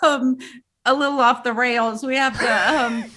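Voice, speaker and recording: A woman's voice: fairly high pitch, fairly even intonation, unhurried, sharp tone. A woman co-hosting a casual recap show, speaking in an informal, chatty way. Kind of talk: casual chat recapping a TV episode.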